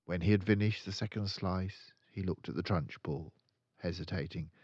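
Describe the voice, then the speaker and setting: An older man narrating a children's story aloud in an expressive storytelling style. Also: low voice, moderate pace, no echo, lo-fi sound. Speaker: storyteller reading a children's book.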